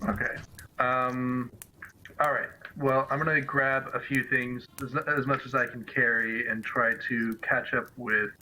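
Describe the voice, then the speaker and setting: A man with a very bright, sharp voice talks as a player in a tabletop game. He is casual and hesitant, circling back over his words. Faint noise sits behind him. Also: mid-range voice, little pitch movement, unhurried, rough recording. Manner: hesitant, repeats himself and asks questions. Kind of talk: casual tabletop roleplay talk.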